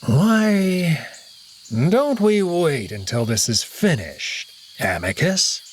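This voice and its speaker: A man voicing a scarred old male wolf, a menacing usurper, who draws out his threats slowly. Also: on the high side, very animated, a little hiss or hum, pitched above the performer's own voice, full character voice. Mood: coldly scheming. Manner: slow, drawn-out threats.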